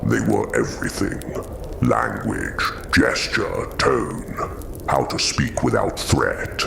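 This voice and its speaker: A haunted giant creature for an audio drama, super low and slow, gentle but weary, noisy background. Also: male voice, wildly swooping pitch, gravelly, full character voice. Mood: sorrowful. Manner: slow, weighted, halting delivery.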